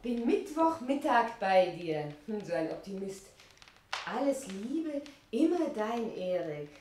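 An actress playing a weary young governess, complaining yet peace-loving, in a 1960s German radio play. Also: female voice, a little high, very animated, slow pace, noisy background. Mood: curious, puzzled.

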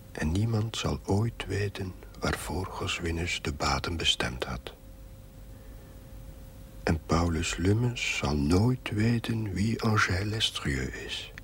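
Sombre narrator, very low voice, slow, solemn, TV drama, faint noise. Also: male voice, fairly bright tone, exaggerated voice. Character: sombre man narrating a village drama's epilogue. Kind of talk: scripted narration in television drama. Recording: faintly noisy old television soundtrack.

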